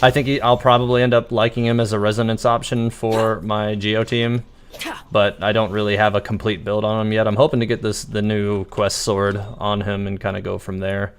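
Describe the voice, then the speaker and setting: A man with a low voice streams a video game live. He talks casually to his viewers, thinking aloud and explaining what he is doing. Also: little pitch movement, moderate pace, clear, resonant tone.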